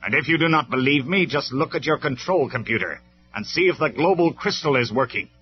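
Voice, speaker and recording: A man voicing a stern, commanding male robot leader who speaks loud and measured, on a dull lo-fi recording with faint music. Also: medium pitch, expressive, pitched above the performer's own voice, natural voice.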